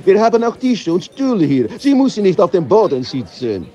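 A courteous waiter with an Italian accent in a comic audio drama. His voice is high and very animated, fussing politely and eager to serve. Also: brisk, lo-fi sound. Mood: polite, puzzled.

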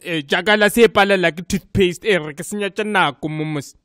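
A man's voice: high voice, fast talker, fairly bright tone, no echo, clean studio sound. A man voicing a sarcastic, grumbling South African cartoon sidekick with a mocking, streetwise manner. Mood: mocking, impatient. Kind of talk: comedic cartoon dialogue.

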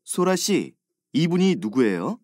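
A man voicing a friendly young learner in textbook dialogues, with clear and careful diction. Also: on the high side, big pitch swings, a little slow, a little mellow. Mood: polite. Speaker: dialogue voice actor.

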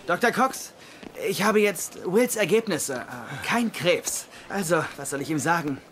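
An actor playing an eager, insecure young doctor in a dubbed TV sitcom, earnest and chatty. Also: male voice, high voice, lively intonation, measured pace, a bit bright, slight background noise. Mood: eager, nervous. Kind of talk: German-dubbed TV sitcom acting and narration.

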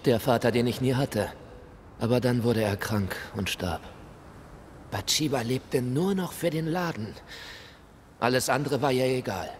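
An actor playing the embittered, polite ghost of a colonial shop clerk, with formal, theatrical delivery in a video game. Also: male voice, mid-range voice, a little slow, long pauses, natural voice. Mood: wistful. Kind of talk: video game dialogue acting. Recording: hall reverb, noisy ambience.

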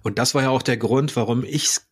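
A man in his forties hosting a podcast, asking questions in a relaxed, chatty way with frequent ums. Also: medium pitch, fairly quick, breathy edge, soft background music. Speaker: podcast host. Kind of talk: casual interview conversation.

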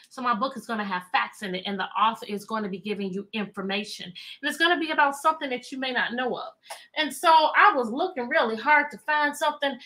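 A woman with an American accent talks to children like an engaging teacher on a livestream. Her voice sits high and has a bright, forceful edge. She is animated and often repeats her questions to pull listeners in. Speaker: teacher, children's read-aloud host. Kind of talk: chatty interactive livestream for children. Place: indoor room with bookshelves.

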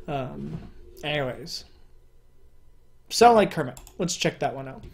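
A young man with a high-pitched voice that people on the phone often take for a woman's. He talks casually to a webcam from his room at home, rambling with fillers and restarts. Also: a little mellow. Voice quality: higher-pitched male voice, sometimes mistaken for a woman. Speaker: YouTuber. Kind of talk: casual solo commentary.

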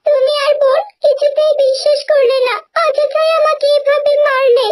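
A woman's voice: extremely high pitch, level tone, moderate pace, slight background noise. A woman voicing a mistreated, meek and kindly young stepdaughter in a fairy-tale cartoon, in a pleading manner. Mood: aggrieved. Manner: pleading and obedient.

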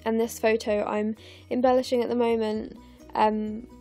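A young English woman in her twenties, narrating her scrapbooking in a chatty, rambling way with lots of fillers, her voice croaky and tired late at night. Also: on the high side, flat delivery, moderate pace, mellow, faint music behind. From England. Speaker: scrapbooking vlogger. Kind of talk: casual voice-over narration of a craft process. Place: home, recorded late at night.

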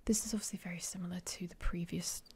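A woman's voice: lowish voice, steady tone, fast talker, darkish tone, breathy edge. Whispering woman doing ASMR. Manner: hesitant, unsure, lots of ums. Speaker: ASMR creator. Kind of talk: whispered ASMR clothing try-on.

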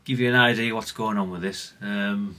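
A man's voice: on the low side, fairly even intonation, moderate pace, bright, forceful tone. A middle-aged British man giving casual, unscripted workbench commentary in a rambling, chatty, plain-spoken way. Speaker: hobbyist radio repair vlogger.

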